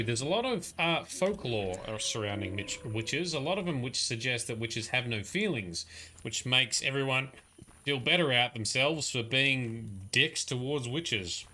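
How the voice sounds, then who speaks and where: Brief: male streamer, bright tone, chatty and self-correcting, playing along with chat. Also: mid-range voice, slight background noise. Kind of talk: live gameplay commentary.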